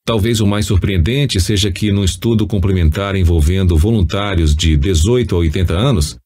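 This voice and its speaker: A man with a Brazilian accent reading a nonfiction audiobook in a measured, didactic manner. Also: lowish voice, a little mellow, slightly rough. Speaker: audiobook narrator. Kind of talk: scripted audiobook narration of nonfiction.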